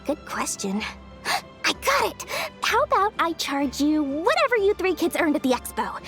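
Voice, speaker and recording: Very high, perky merchant girl. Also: very animated, normal speed, fairly bright tone. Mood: playful, delighted. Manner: showy, theatrical salesmanship. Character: perky, high-voiced female merchant, cheerful theatrical seller. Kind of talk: animated video game acting, sales pitch. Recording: faint music behind.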